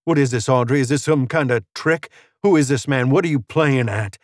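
A man's voice: medium pitch, brisk, no echo, studio quality, pitched above the performer's own voice, lightly acted. Man acting a swaggering hot-tempered Old West outlaw. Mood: angry, suspicious.

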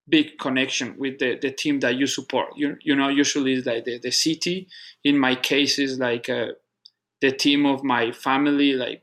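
Man, Colombian Spanish accent, native Spanish speaker, halting and earnest, repeats words, level monotone delivery. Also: medium pitch. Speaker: guest, soccer club operations manager. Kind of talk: casual interview conversation.

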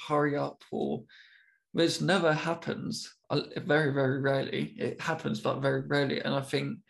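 Young male interviewer, stammers, flat delivery. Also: a little high, creaky. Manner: stammers, with repeated words and long pauses. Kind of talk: casual conversation.

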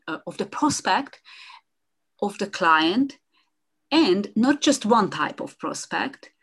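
Gravelly-voiced woman, animated. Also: mid-range voice. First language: Hungarian. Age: middle-aged. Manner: animated, with frequent restarts and repeated words. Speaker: guest, marketing consultant. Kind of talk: conversational podcast interview.

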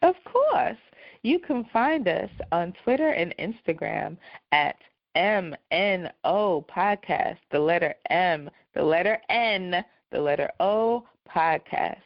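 A woman podcast co-host chats casually in a lowish voice with plenty of fry. Her delivery is dry. The recording is tight and free of room sound, a bit dull. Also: lively intonation, takes it slowly, no room sound. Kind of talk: casual chat.

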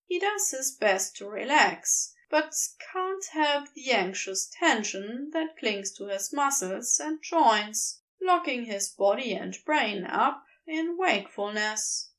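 A woman narrating a fan fiction story aloud with unhurried, expressive delivery. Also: on the high side. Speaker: podfic reader.

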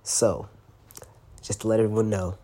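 A man's voice: fairly low pitch, little pitch movement, normal speed, mellow. A young man with a cold hosts a casual solo podcast, sounding stuffy and congested, and talks in a loose, slangy way with plenty of swearing. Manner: loose and slangy, swearing, laughing now and then. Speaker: podcast host.

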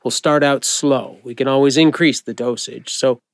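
A man playing a friendly, informal doctor who gently encourages and reassures a patient in an audio drama. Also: medium pitch, steady tone, moderate pace, no room sound, studio quality, natural voice. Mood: matter-of-fact. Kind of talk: naturalistic audio drama acting.

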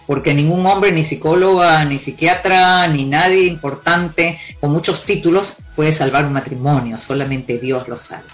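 A woman speaks in a low, deep register. She gives relationship advice with emphatic, sweeping declarations, telling lively stories to make her point over faint background music. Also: normal speed, few pauses. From born in Cuba, raised in Peru. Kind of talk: confident advice-giving interview talk.

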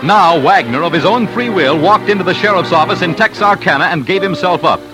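Animated male radio documentary narrator over music. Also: high-pitched, brisk, rough recording. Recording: old radio broadcast with music.

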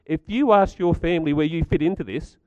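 A middle-aged man with a croaky voice, giving a chatty talk to a live audience and asking them questions. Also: a little high, fairly quick, no room sound, muffled recording. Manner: chatty, stats-driven, asks the audience questions. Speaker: speaker at a community gathering.

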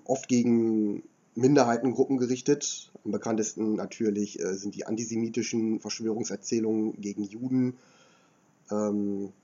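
A man with a slight cold and a hoarse edge, explaining history as a podcast host in a measured lecturing way with frequent filler sounds. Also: fairly low pitch, mellow, highs cut off.

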